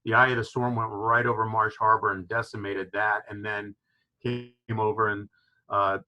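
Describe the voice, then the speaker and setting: Man, from Florida, lodge owner, bright forceful voice, enthusiastic, video call. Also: fairly low pitch, level tone, normal speed, muffled recording, faint music behind. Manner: enthusiastic explainer, frequent "you know" fillers. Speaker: fishing lodge owner, guest. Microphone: video call microphone.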